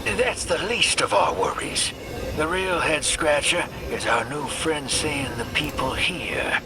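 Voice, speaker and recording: An actor playing a wry, irreverent adventurer in a video game, talking in an offhand, colloquial drawl. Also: male voice, on the high side, takes it slowly. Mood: dry, offhand. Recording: loud noise behind the voice.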